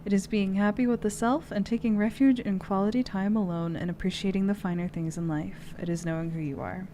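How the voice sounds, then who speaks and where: A woman's voice: medium pitch, steady tone, fairly quick, warm tone, slight background noise. A woman streamer in casual, giggly, playfully profane chat as she hosts a tarot reading.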